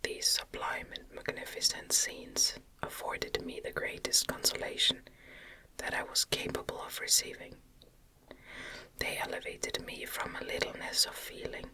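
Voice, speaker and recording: Slow, breathy whispering woman narrator. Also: poor audio. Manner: gentle, unhurried, deliberate phrasing. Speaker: audiobook narrator. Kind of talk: whispered ASMR novel reading. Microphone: close microphone.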